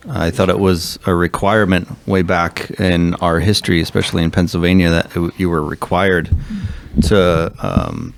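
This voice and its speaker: A male pastor speaking earnestly as a podcast guest, thinking aloud with many pauses and fillers. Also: sits low, vocal fry, a little hiss or hum. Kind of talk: discussion guest.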